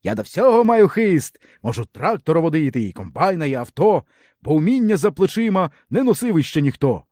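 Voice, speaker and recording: Hearty rural tractor driver for a storybook, male reader acting, slightly raised, animated, jovial. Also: a little high, moderate pace, no room sound, clean studio sound, voice pushed up. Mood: cheerful boasting. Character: hearty friendly grown man, village tractor driver.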